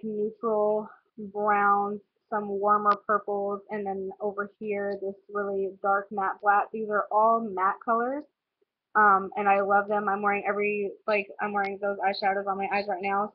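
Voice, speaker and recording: A woman's voice: medium pitch, slight background noise. Stuffy-nosed young woman chatting about makeup. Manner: chatty, rambling with many "um" and "like". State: stuffy, nasal from a cold. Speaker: beauty vlogger. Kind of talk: casual makeup tutorial chat. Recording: slightly dull lo-fi home recording.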